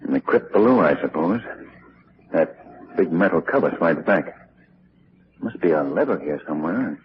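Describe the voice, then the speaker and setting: A calm, resolute amateur detective from a 1940s radio mystery. He speaks in a hushed, commanding way with lively pitch movement. The old recording is dull and carries a faint hiss. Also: moderate pace. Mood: hushed, grave. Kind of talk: 1940s radio drama acting.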